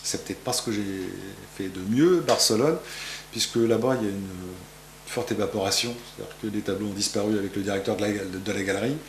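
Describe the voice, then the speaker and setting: A man's voice: on the low side, fairly quick, reverberant, noisy background. A man in his fifties from France, a painter answering interview questions in his gallery, rambling with many fillers and restarts. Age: 50s. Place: art gallery, indoors.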